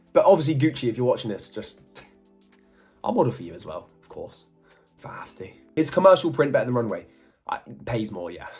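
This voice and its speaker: A man's voice: mid-range voice, husky. A man, chatting through a casual Q&A vlog with jokey, self-mocking asides. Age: 20s. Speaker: model and YouTuber.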